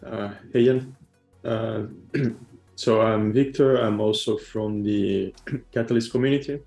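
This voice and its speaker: Mellow man in an echoey room. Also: on the low side, steady tone, slow, faint music behind. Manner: plain, listing, clears throat.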